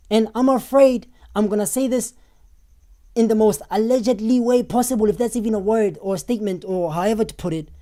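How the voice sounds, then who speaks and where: Brief: South African man, hoarse and losing his voice, streamer answering chat, mellow tone. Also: very high-pitched. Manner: animated, talks back to viewers' comments. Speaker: live stream host. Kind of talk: live stream commentary reading chat.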